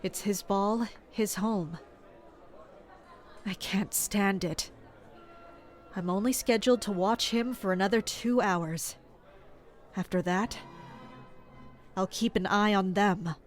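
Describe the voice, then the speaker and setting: A woman's voice: mid-range voice, slow pace, long pauses, soft background music, natural voice. A woman playing an anxious, stern attendant in an audio drama, speaking with exasperation. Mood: exasperated, anxious.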